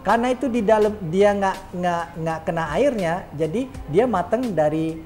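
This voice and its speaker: Middle-aged chef explaining with filler-laced tags. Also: male voice, high-pitched, normal speed, a little mellow, soft background music. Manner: explanatory, tag questions, frequent "eh" fillers. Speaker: chef and show host. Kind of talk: casual cooking demo chat.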